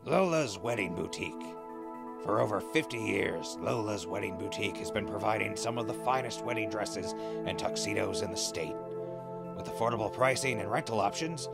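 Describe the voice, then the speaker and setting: A man acts out an easygoing ghoul who hosts a wasteland radio show. The voice sits low and crackles with gravel. He talks in a laid-back, conversational way, with prominent music underneath. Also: normal speed. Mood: upbeat, wry. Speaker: radio host. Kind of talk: post-apocalyptic audio drama acting and narration.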